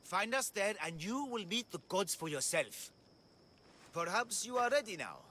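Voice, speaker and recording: An actor playing a grandiose, deluded self-proclaimed god in a video game, with a pompous, theatrical, declamatory delivery. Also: male voice, high-pitched, big pitch swings, normal speed, pushed and bright, a little hiss or hum, full character voice. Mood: exultant. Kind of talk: video game cutscene acting. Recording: music playing behind the voice.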